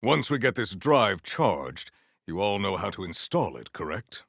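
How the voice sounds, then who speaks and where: A man's voice: lowish voice, big pitch swings, unhurried, a bit bright, dry recording, studio quality, exaggerated voice. A man playing a solemn, proud warrior in a video game, speaking with formal, stately diction and dramatic weight. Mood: earnest, stately. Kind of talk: scripted game character lines.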